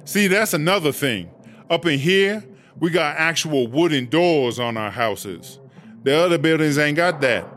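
A man's voice: a little high, lively intonation, measured pace, fairly bright tone, slight background noise. A man playing a brash, vain, bossy female prison inmate in a comedic audio drama, with sassy, slangy talk. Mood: chatty, boastful. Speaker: interviewed inmate character.

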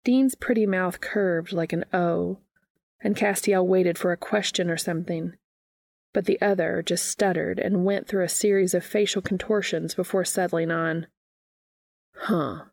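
A woman's voice: on the low side, fairly even intonation, normal speed, creaky, darkish tone, no echo, studio quality. A woman reading fan fiction aloud as narration, in the style of an audiobook.

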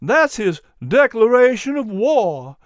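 A narrator voicing an excitable female animal who speaks emphatically. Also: mid-range voice, slow pace, dry recording, voice pushed up. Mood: emphatic. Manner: emphatic, exclaiming.

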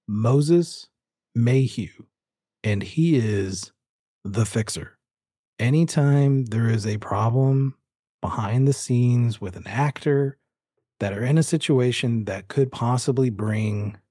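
A man narrating a tabletop roleplaying game as game master, unhurried and descriptive, leaving pauses as he improvises. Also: mid-range voice, mellow, no room sound, studio quality.

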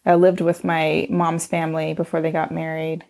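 A woman's voice: low-pitched, completely flat delivery, moderate pace, vocal fry, a little mellow. A middle-aged woman, a scientist guest whose first language was Chinese, answering in casual conversation, hesitant with many ums and restarts.

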